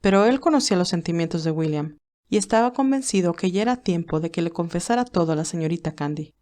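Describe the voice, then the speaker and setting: A woman narrator reading a romantic drama as a scripted audiobook, in a medium-pitched voice. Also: expressive, quick pace, darkish tone.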